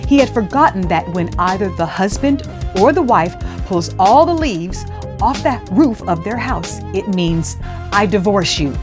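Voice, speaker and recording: Female storytime host for kids, expressive and teacherly, lively mid-range voice, music underneath. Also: mid-range voice, unhurried. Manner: expressive, teacherly, addressing children and parents. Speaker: host of a children's read-aloud storytime show. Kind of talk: expressive storybook reading with scripted instructions.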